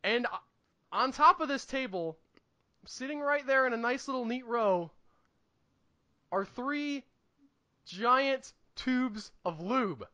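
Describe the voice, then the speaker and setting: High-pitched, bright-voiced man chatting. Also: expressive, slow pace, pauses often, lo-fi sound. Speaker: podcast co-host. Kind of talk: casual comedic chat and anecdote telling.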